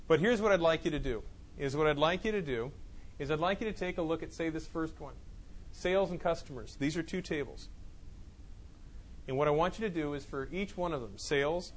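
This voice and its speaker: A male instructor with a slightly high voice lectures his class. He explains in loose, looping sentences, often restarting mid-thought. His talk is picked up in a classroom with faint noise behind it. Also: brisk. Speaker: college instructor. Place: classroom with students. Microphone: clip-on mic that keeps falling off.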